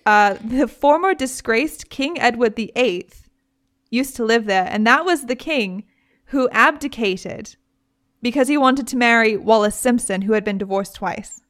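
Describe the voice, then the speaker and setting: A woman's voice: on the high side, unhurried. Chatty British woman telling a story. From Britain. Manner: chatty, animated, frequent laughs and asides. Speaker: podcast host. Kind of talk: casual storytelling chat.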